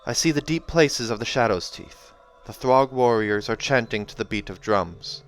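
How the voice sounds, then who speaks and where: A man's voice: medium pitch, normal speed, a little hiss or hum, natural voice. A man voicing a savage young female seer from a fantasy audiobook, mystical and haunted, speaking in dramatic, incantatory fashion. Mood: visionary, rising. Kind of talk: audiobook character acting.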